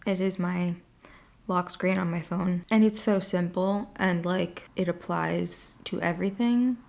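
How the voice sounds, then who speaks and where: Solo female podcast host, casual rambler, voice tired and raspy with heavy vocal fry. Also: fairly low pitch, fairly even intonation, a little slow, darkish tone. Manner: rambling, filler-heavy talk with frequent "like". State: voice worn and slightly raspy from long use. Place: at home in her new apartment, late at night.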